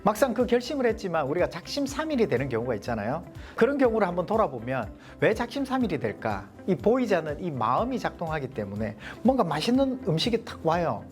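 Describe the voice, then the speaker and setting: A man with a softened Gyeongsang accent, giving a leadership coaching lecture to a small group and posing rhetorical questions. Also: high-pitched, huge pitch swings, fast, hardly any pauses, soft background music. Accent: Gyeongsang Korean, mostly softened. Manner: poses rhetorical questions, explanatory.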